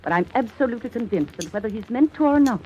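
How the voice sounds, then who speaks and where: An actress playing a blunt, protective older aunt in an old radio drama, forthright and disapproving. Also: female voice, a little high, lively intonation, fast talker, mellow, lo-fi sound, natural voice. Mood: scolding. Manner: forthright, urgent, scolding. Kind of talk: 1950s radio drama acting. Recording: old radio recording, faint music behind.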